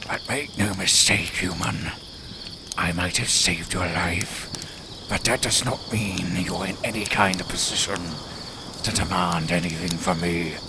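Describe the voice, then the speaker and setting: Man acting as condescending lone werewolf, very low voice, theatrical formal delivery, audio roleplay, crickets and forest ambience behind. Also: fairly even intonation, a little slow, background noise. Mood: haughty, condescending. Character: haughty male lone werewolf, sardonic, grudging rescuer. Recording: night forest ambience with crickets, clearly audible. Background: outdoor forest sounds, crickets.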